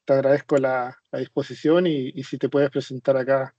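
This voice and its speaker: A man's voice: mid-range voice, little pitch movement, a little fast, dark tone, dry recording, highs cut off. A man hosting a casual podcast interview in a polite, informal way. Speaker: podcast host.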